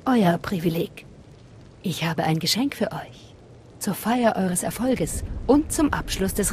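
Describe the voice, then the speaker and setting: Actress as zealous cult woman, reverent, bloodthirsty, mid-pitched, game dialogue, faint rainy rumble behind. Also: natural voice. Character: fervent, reverent female cultist with a bloodthirsty streak. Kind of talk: video game voice acting in German. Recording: low rumble and rain behind.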